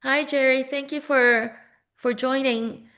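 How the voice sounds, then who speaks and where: A woman's voice: sits high, fairly even intonation, slow pace, fairly bright tone. A woman hosting a webinar over a video call, greeting and thanking each guest as they join. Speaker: webinar host.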